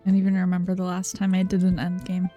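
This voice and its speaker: A woman's voice: lowish voice, completely flat delivery, fast talker, mellow. A woman game streamer chatting casually and reacting while she plays. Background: game music.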